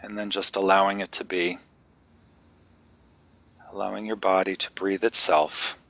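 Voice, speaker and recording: Low-voiced man guiding a meditation, bright tone. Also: little pitch movement, gravelly, dry recording. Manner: gentle, unhurried instruction with long pauses. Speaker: guided meditation leader. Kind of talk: guided meditation.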